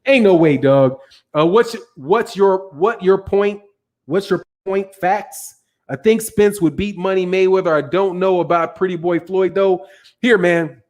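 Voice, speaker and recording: Excitable slangy man hosting boxing chat. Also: high-pitched, unhurried. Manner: loose slangy banter, excitable, trails off and restarts. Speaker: boxing channel host. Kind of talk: casual livestream chat reading viewer comments.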